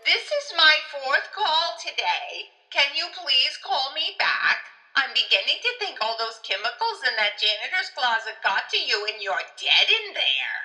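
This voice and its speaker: A woman's voice: sits high, sing-song, unhurried, hardly any pauses, sharp tone, exaggerated voice, poor audio. A woman playing a chatty, nagging middle-aged mother leaving phone messages in an audio comedy. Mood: exasperated. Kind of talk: scripted audio comedy acting.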